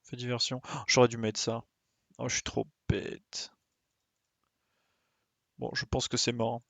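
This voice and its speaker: A man's voice: mid-range voice, completely flat delivery, measured pace, vocal fry, fairly bright tone, no echo. A man giving casual live game commentary, muttering his reactions to himself. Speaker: game streamer.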